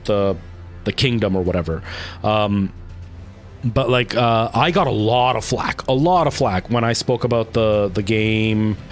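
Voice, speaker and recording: A man from Canada with a light Canadian accent, chatting casually with viewers on a livestream, rambling off on tangents and answering viewer comments. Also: fairly low pitch.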